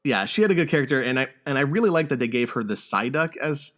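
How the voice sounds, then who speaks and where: A man co-hosting a podcast in a casual discussion, with a halting, stammering delivery. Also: medium pitch, lively intonation, a bit bright. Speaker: podcast host.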